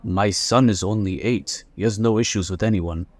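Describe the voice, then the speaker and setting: An actor playing a suspicious, protective father who is gruff and hostile toward strangers at his door. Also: on the low side, normal speed, dry recording, close to an everyday voice. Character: defensive, protective working-class father. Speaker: character in audio drama.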